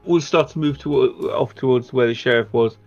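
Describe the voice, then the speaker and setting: A man with a creaky, medium-pitched voice talks through tabletop game plans. He is assertive and wry, and the sound is slightly muffled with music underneath. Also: moderate pace, darkish tone. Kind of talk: casual game planning chat.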